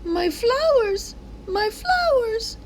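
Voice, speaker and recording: A woman voicing a kindly, expressive flower seller in a children's storybook. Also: very high-pitched, extremely slow, slight background noise, pitched above the performer's own voice, heavily acted, poor audio. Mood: alarmed.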